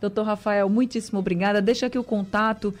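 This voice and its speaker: A woman hosting a radio call-in show, introducing listeners' messages and thanking her guest in a friendly, brisk way. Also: medium pitch, fairly even intonation.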